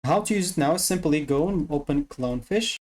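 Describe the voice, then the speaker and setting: Tutorial presenter, mellow mid-range voice, casual step-by-step explanations. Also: mid-range voice, animated, brisk, slight background noise.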